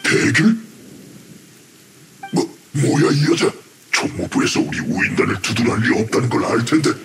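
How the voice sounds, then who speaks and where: A man's voice: medium pitch, lively intonation, normal speed, gravelly, noisy background. An actor playing a hapless, flustered henchman in an anime-style game, whiny, defensive and protesting. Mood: aggrieved.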